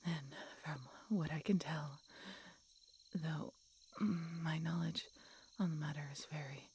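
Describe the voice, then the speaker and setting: Woman doing a hurt old dragon lady, low n whispery, weak, tender, pauses a lot, audio drama w some background noise. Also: steady tone, darkish tone. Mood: pained, grave. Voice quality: breathy, whispery. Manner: halting, weakened, pained delivery with dramatic pauses. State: weak and in pain. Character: mortally wounded centuries-old female dragon, tender and resigned. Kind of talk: dramatic audio roleplay addressed to listener.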